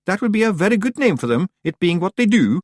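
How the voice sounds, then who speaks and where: A tiny clan chief of little fairy men chatters in a squeaky, shrill Scottish voice, eager and evasive. His voice leaps up high and swings wildly. The take is close and dry. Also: big pitch swings, fast, no echo.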